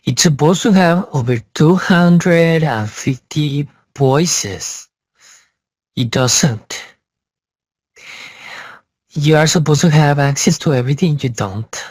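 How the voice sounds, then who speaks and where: A man's voice: fairly high pitch, husky, no echo. A man giving a blunt, emphatic and casual review through a voice changer. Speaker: software reviewer.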